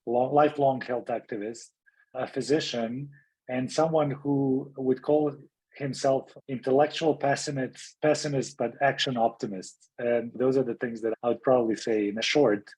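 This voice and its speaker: A man from Serbia, a middle-aged physician and activist, gives a thoughtful interview answer. His voice sounds husky and a little breathy. He tells his story in a reflective way and often restarts his phrases. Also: medium pitch, steady tone, moderate pace, darkish tone, poor audio. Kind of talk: interview guest.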